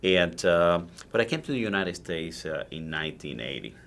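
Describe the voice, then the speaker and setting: A man in his forties with a Cuban Spanish accent, a native Spanish speaker telling his story as a guest in a casual interview, animated and full of restarts. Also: deep voice, moderate pace, creaky. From Cuba. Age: 40s. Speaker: guest, cigar maker.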